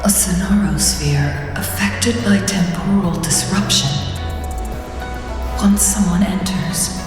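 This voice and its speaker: An actress playing a composed, pensive woman warrior in a video game, speaking in a measured, contemplative way. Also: lowish voice. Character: composed, pensive adult woman warrior reflecting on her past. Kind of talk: video game voice acting, introspective monologue. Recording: very echoey reverberant hall, music behind.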